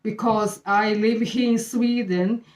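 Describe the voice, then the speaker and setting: A middle-aged woman from Brazil with a Brazilian Portuguese accent, hosting her channel and sharing a faith talk in halting English, often pausing with fillers as she searches for words. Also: fairly high pitch, fairly even intonation. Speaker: channel host. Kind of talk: casual faith talk to camera. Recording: echoey room.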